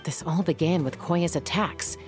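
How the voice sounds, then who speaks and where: A young woman peacekeeper of a tribe as a game actress plays her, with a low, restrained voice. She speaks in a measured, resolute way, and music plays underneath. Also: a little mellow, natural voice. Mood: resolute, businesslike. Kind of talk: video game voice acting.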